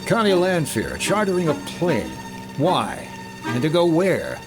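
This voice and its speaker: A brisk, questioning American investigator, acted by a man in 1950s radio detective style. The voice is fairly high and moves around a lot, with music clearly underneath. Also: wildly swooping pitch. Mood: puzzled. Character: wry, suspicious male American insurance investigator. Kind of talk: 1950s radio detective acting, first-person narration.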